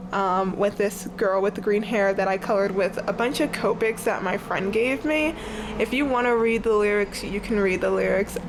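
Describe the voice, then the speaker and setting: Young woman, congested and sniffly, rambling vlog chat, mid pitch, faint hiss behind. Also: poor audio. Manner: chatty, rambling, says "like" often. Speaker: art vlogger. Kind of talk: casual sketchbook tour chat. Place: on her bed at home.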